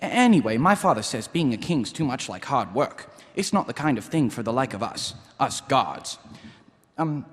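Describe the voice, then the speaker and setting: An actor playing a naive, earnest young city guard corporal in a comic fantasy radio play. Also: male voice, medium pitch, big pitch swings, normal speed, natural voice. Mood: sincere, matter-of-fact. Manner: earnest, guileless. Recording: live stage performance in reverberant hall.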